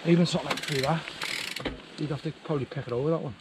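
A man's voice: fairly high pitch, rapid-fire. A man chatting on a mountain bike ride outdoors, explaining in a matter-of-fact, practical way. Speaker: riding companion. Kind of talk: casual chat on a ride. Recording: outdoor wind and bike noise.

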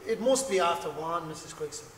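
An actor playing a hesitant, stammering lodger soothing a drunk neighbour on stage. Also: male voice, on the high side, brisk, a bit bright, lightly acted. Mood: placating. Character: hesitant, placating male lodger. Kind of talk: stage play acting. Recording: echoey hall, far from mic, faint ambience.

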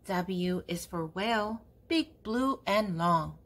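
An older woman reading a children's picture book aloud, speaking in simple, deliberate phrases for young listeners. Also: on the low side, animated, a little hiss or hum. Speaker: children's book reader and channel host.

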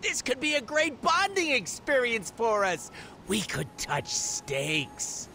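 An actor voicing a wisecracking antihero mercenary in a superhero video game, flippant and theatrical, full of rambling asides. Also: male voice, very high-pitched, wildly swooping pitch, takes it slowly, vocal fry, slight background noise, heavily acted. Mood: pleading, playful. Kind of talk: video game cutscene acting. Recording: loud music or ambience behind.

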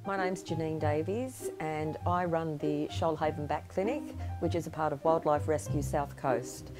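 A woman's voice: sits low, fairly even intonation, normal speed, over music. A woman in her fifties who runs a wildlife rescue clinic, speaking plainly at home. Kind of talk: documentary interview. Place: indoors at home, by animal cages.

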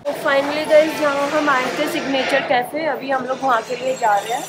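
A woman's voice: high-pitched, fairly even intonation, moderate pace, heavy background noise. A woman in her thirties, co-hosting a casual travel vlog, speaking to viewers on a phone camera. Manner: friendly, asks viewers to like and subscribe. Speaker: vlogger. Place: car, then a busy street market.

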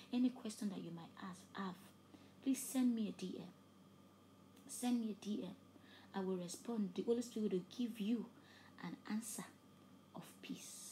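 A woman with Yoruba as her preaches fervently, her mid-range voice climbing to loud emphatic peaks. She often drops to soft, breathy near-whispers. A faint hiss of noise runs under the recording. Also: medium pitch, rough recording. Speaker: preacher and host. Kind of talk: preaching a sermon with an altar call.

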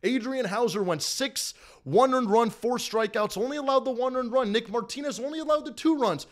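A man's voice: very high-pitched, brisk. A young man in his twenties hosting a live-stream postgame recap from a small room at home, hyped and repetitive, often calling out to everybody in the chat. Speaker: sports fan streamer host. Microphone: desk mic in front of the face.

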